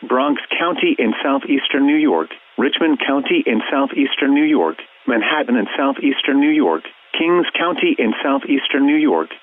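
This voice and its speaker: Tinny radio man, synthetic weather bulletin voice. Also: mid-range voice. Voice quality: synthetic text-to-speech voice. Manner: flat, formal, measured reading. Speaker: automated weather alert announcer. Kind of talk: scripted emergency weather bulletin.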